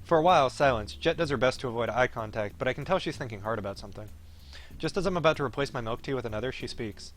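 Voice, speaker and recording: A man's voice: medium pitch, fast talker, faint music behind. A man chatting casually on a game livestream, blunt and sweary, reading from the game at times. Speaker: streamer reading a visual novel aloud. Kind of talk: casual livestream chat with read-aloud game dialogue.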